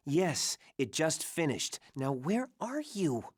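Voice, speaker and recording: Casting: an anxious game informant, high for a man, very animated pitch, pleading, dry sound. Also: slow pace, no room sound, full character voice. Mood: nervous. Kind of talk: dramatic video game cutscene acting.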